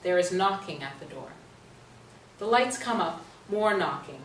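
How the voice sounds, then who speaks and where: A woman's voice: on the low side, expressive, measured pace. A woman narrator reading stage directions in a scripted play reading. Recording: roomy stage, distant mic, faint noise.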